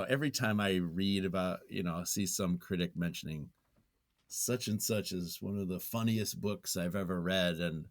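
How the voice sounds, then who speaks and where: A man talking casually and reflectively as an interview guest, hesitant and self-effacing as he thinks aloud. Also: deep voice. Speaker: podcast guest, nonfiction writer.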